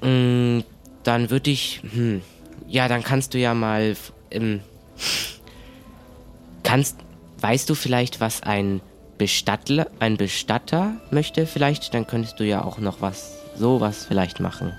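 A man in an improvised adventure roleplay, talking conversationally and haltingly as he searches for words. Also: mid-range voice. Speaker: player in an improvised pirate adventure audio play. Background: faint music.

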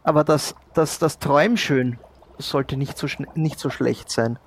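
A man improvising role-play dialogue as a cork shop owner, speaking haltingly. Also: a little high, fairly even intonation, breathy edge. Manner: hesitant, halting. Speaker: player in an audio role-play.

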